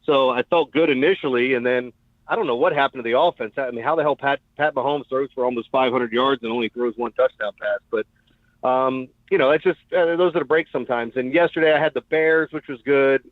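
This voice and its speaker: A man's voice: medium pitch, a little fast, no room sound. A man chatting casually and bluntly as a sports betting guest, heard over a tinny phone-style connection. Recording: tinny phone-like call audio.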